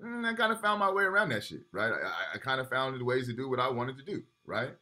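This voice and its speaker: Bright-voiced man lecturing over video call. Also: mid-range voice, expressive, fast. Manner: casual, repeats "right?", reads texts aloud.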